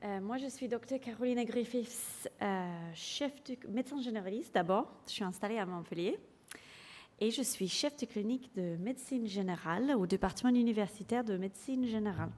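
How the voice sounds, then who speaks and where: A woman from England with an English accent presents to a room of doctors, her mellow voice carrying a long reverb through the lecture hall. She speaks with frequent hesitations and keeps the audience involved with quick questions. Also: medium pitch. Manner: engaging, polls the audience, hesitant fillers. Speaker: general practitioner and clinical lecturer presenting. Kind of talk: conference presentation.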